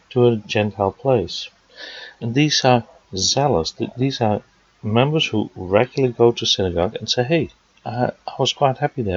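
A man from the Netherlands with a Dutch accent, preaching a sermon and working through scripture verse by verse, pausing with fillers as he explains. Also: medium pitch, husky, no echo. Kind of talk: sermon teaching. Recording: dry, dull lo-fi sound.

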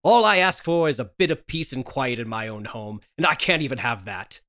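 A man performs a wronged husband in an old-time radio horror melodrama. He is desperate and hysterical, with a theatrical, stammering delivery and pitch that leaps up and down. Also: animated, fairly quick, sharp tone. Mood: bitter. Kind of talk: 1930s radio horror melodrama table read.

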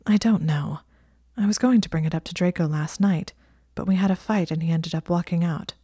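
Woman doing a clever young witch, tense n clipped, lowish voice, dry. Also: brisk, dark tone, breathy edge, dry recording.

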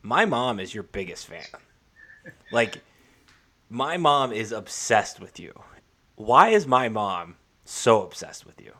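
A man with a fairly low voice chats as a sports podcast co-host. He talks informally and in a halting way, circling back to restart phrases as he goes. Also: very animated, sharp tone. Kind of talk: casual sports podcast chat.

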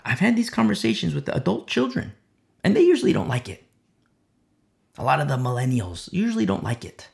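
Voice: mid-range voice, wildly swooping pitch, fairly quick, gravelly, darkish tone. A preacher delivering a sermon, with rhetorical questions and a repeated "you see?".

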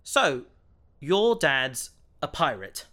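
A man's voice: on the high side, sing-song, extremely slow, bright, forceful tone, exaggerated voice. A man playing an earnest, reserved and loyal police officer in an audio drama. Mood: awkward.

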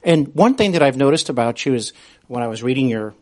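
A man's voice: mid-range voice, expressive, quick pace, a little mellow. A man hosting a conversational interview, speaking in an affirming, wordy way with hesitant phrasing. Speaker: podcast host and interviewer.

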